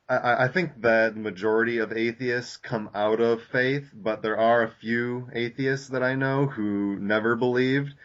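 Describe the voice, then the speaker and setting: Halting low-voiced man on a lo-fi podcast. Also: fairly bright tone. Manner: halting, many fillers and restarts. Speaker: podcast host. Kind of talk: casual podcast discussion. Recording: lo-fi dull audio.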